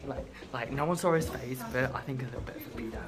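A man's voice: mid-range voice, fast talker. A teenage boy vlogging in a school corridor, chatty and cheeky, joking and swearing as he walks. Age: teens. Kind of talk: casual school vlog. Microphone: phone held in hand. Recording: noisy phone audio.

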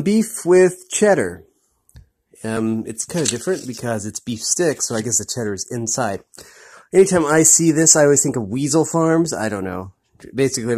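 A man reviewing a beef stick on camera, talking casually with lots of ums and repeated "really, really good". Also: medium pitch. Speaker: product reviewer. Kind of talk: casual food review vlog.

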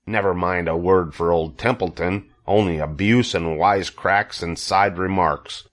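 A man's voice: low voice, steady tone, measured pace. A man voicing a lazy, self-pitying old storybook rat with a growling, sarcastic, complaining manner.

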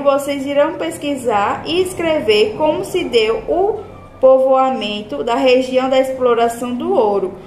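A woman's voice: high voice, darkish tone. A young adult woman with a Brazilian accent, a primary school teacher giving a scripted lesson to children in a classroom. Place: small tiled classroom, indoors. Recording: echoey room with background noise.

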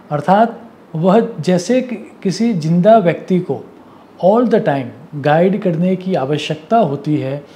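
A man gives a measured, didactic talk to camera, mixing in English words. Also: fairly high pitch, warm tone. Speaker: Vastu consultant presenting. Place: indoor office or study. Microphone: lapel mic.

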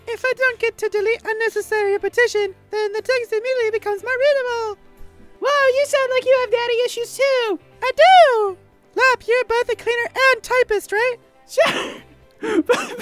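Silly babyish anime schoolgirl, insanely high squeaky voice, bit of music. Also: a bit bright. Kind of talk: comedic visual novel dub.

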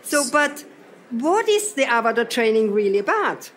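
A woman's voice: on the high side, sing-song, moderate pace, slight background noise. Austrian woman leading a workshop talk. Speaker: seminar trainer. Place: event hall.

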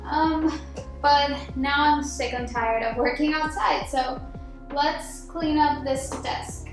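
A woman's voice: sits high, steady tone, slow pace, over music. A young woman vlogger chatting casually and informally to viewers from a room at home, drawing out some words. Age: young adult. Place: echoey home office room, indoors. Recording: echoey room, clear background noise.

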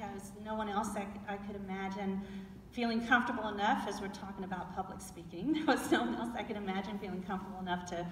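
A woman's voice: medium pitch, slight background noise. Southern woman speaking in an echoey hall. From South Carolina. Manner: relaxed, chatty, with frequent fillers and restarts. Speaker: panel guest. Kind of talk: onstage fireside chat. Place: large indoor event hall with an audience. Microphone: handheld mic, recorded by a far camera. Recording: roomy hall echo recorded from far off.

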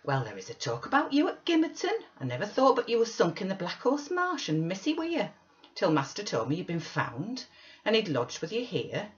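An excitable countrywoman servant acted out by a female narrator, gossipy and exclamatory. Her pitch swoops high and wide as she chatters. The audiobook sound is a little dull. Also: moderate pace. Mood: excited, nosy.

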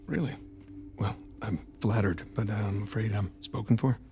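A man's voice: fairly low pitch, moderate pace, natural voice. An American man in middle age playing a flippant, wisecracking treasure hunter in a video game cutscene. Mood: cool, charming. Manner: sarcastic, flippant. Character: wisecracking adventurous male treasure hunter, cocky under pressure. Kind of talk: video game cinematic acting. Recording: loud music under the voice.

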